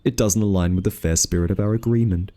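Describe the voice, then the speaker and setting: Man playing a fussy, low-voiced guardian angel. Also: steady tone, quick pace, dark tone, close to an everyday voice. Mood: grudging, prim. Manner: scolding, flustered, huffy, theatrical. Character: exasperated, fussy, protective male guardian angel, rule-bound and tsundere. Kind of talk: ASMR roleplay audio drama addressed to the listener.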